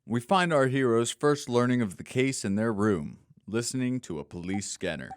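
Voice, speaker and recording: A man's voice: mid-range voice, lively intonation, moderate pace, smooth, no echo, studio quality, faint music behind. A man narrating a scripted audio drama as a storyteller introducing the scene.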